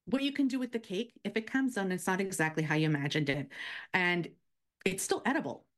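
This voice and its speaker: A woman's voice: medium pitch, lively intonation, brisk. A woman chatting casually as a guest cooking expert, explaining practical baking advice step by step.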